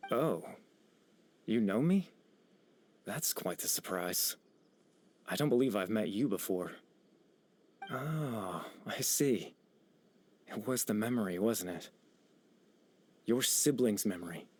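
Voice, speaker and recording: An actor playing a gentle, melancholy young man in a video game, soft-spoken, courteous and a little hesitant. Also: on the low side, lively intonation, slow pace, long pauses, no echo. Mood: polite, reflective. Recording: background music under the voice.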